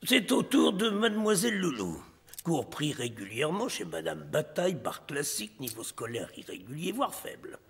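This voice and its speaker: An exacting cartoon ballet examiner, formal and critical. His creaky voice swoops about in a reverberant hall. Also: a little high, sing-song, normal speed, exaggerated voice. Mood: formal, appraising. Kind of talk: French animated series dialogue. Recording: echoing hall, faint music behind.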